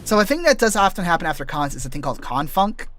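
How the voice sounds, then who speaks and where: A man streaming as a VTuber, chatting casually while he plays, his voice a little raspy and tired from days of voice work, full of loose asides and restarts. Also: on the high side, big pitch swings, quick pace, fairly bright tone, a little hiss or hum. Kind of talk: casual rambling while gaming.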